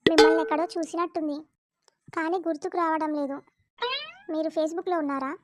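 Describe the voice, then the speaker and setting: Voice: sits high, steady tone, fairly quick, natural voice. A performer voicing a chatty, naive and bossy housewife and mother in a cartoon comedy skit. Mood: curious. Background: music.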